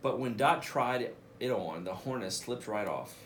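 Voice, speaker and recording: A man, a school principal, reading a picture book aloud for children in an expressive way, with casual asides. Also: mid-range voice, unhurried, slight background noise. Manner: expressive, addresses children directly.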